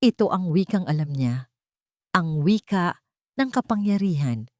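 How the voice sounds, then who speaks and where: A woman's voice: lowish voice, lively intonation, measured pace, no echo, clean studio sound. A Filipino woman narrating a dramatic story from a script.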